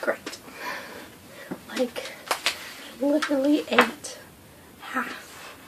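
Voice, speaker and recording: A young woman vlogging a breakfast mukbang, hoarse and losing her voice from a cold, rambling with lots of fillers. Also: high-pitched, steady tone, extremely slow, noisy background. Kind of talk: casual mukbang vlog chat. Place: indoors at home under a hot light.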